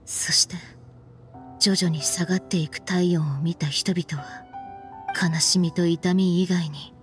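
An actress playing a stern, frank young woman in an anime game, speaking in a firm, reproachful, deliberate way. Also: fairly low pitch, fairly even intonation, faint music behind, lightly acted. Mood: grave explaining. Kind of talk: anime game voice acting, dramatic dialogue.

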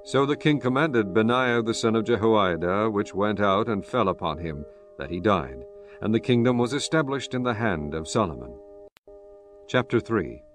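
A man's voice: on the low side, animated, moderate pace. A man giving scripted narration for a dramatized Bible recording, telling the story in a solemn, formal manner. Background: soft background music.

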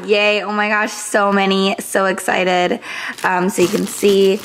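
A young woman in her twenties chatting to a handheld camera at arm's length, a little raspy from just waking, upbeat with many fillers. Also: medium pitch, level tone, unhurried, a little hiss or hum, poor audio. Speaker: vlogger. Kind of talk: casual vlog chat to camera. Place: apartment rooms, gym and car. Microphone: handheld fisheye action camera at arm's length.

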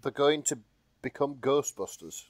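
A man's voice: medium pitch, expressive, unhurried. A man co-hosting a podcast, chatting casually and reacting to what he is told. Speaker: podcast co-host.

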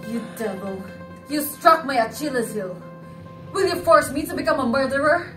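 A woman's voice: high voice, lively intonation, moderate pace. A young woman playing a proud, accusing woman in a stage play, declaiming emphatically. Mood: alarmed, bitter. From Philippines. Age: young adult. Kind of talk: theatrical stage acting of a classic play. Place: indoor living-room stage set. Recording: roomy, distant microphone, music behind.

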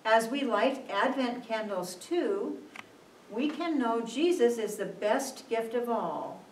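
A woman with a fairly high voice reads a devotional story slowly, phrase by measured phrase. She narrates as if for a church puppet show. The sound is roomy, picked up from a distance. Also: lively intonation, a little mellow. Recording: echoey room from far off, noisy.

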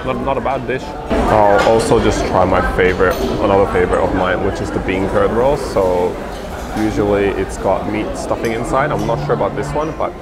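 A man's voice: on the low side, normal speed, over loud music. A young man casually reviewing buffet dishes as he eats, filmed close on a handheld camera in a big restaurant. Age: young adult. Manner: chatty, eats while reviewing each dish. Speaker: food vlogger. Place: large indoor buffet restaurant. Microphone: handheld camera close to face.

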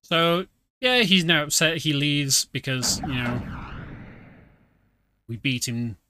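A man's voice: on the high side, lively intonation, slow pace, bright, forceful tone. A man casually commentating a video game stream, explaining the story as he plays. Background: game music.

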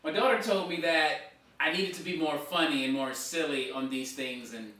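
An animated man in his thirties talks casually to camera from a dining room, his voice bright and forward. The mic sits some way off, so his voice carries a strong bouncing room echo. His delivery is lively and conversational. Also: fairly high pitch, moderate pace. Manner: animated, gesturing with both hands. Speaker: blogger and author. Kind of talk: casual vlog talk to camera. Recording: echoey room from far off.

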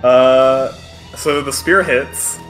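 A man running a tabletop game as game master, improvising narration in a halting, hesitant way. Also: mid-range voice, fairly even intonation, a bit bright, roomy sound, faint music behind, poor audio.

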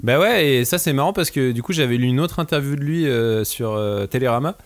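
A man's voice: medium pitch, lively intonation, fairly quick. A man co-hosting a podcast, talking in long, rambling stretches full of fillers. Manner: rambling, chatty, lots of "tu vois". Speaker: podcast co-host. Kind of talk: casual podcast discussion.

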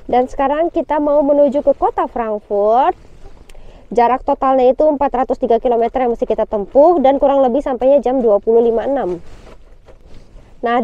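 A woman's voice: sits high, narrow, tinny audio. A woman travel vlogger chatting casually and upbeat to her viewers, dropping English words into her speech. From Indonesia. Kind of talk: casual travel vlog narration.

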